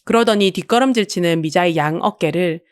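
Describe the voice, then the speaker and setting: A woman's voice: lowish voice, a little fast, no echo, clean studio sound. A woman reading a scripted story as a dramatic audiobook storyteller.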